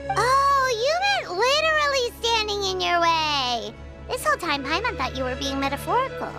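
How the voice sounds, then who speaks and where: A woman voicing a small, childlike fairy companion in a video game, squeaky, chatty and excitable. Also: moderate pace, exaggerated voice. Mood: puzzled, alarmed. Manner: exclamatory, questioning, expressive. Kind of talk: video game character dialogue. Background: game background music.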